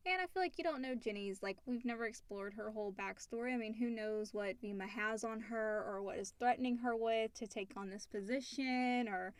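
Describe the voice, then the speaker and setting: A woman's voice: fairly high pitch, fairly quick, few pauses, smooth, no room sound. A woman co-hosting a casual chat show, agreeable in manner. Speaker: co-host. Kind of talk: casual chat, reading listener questions.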